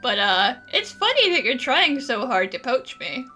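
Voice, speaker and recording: High, lively woman gamer commentating. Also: normal speed, soft background music. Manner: playful, wry replies to game characters. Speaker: gaming streamer playing and reacting. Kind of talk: casual, joking let's-play commentary.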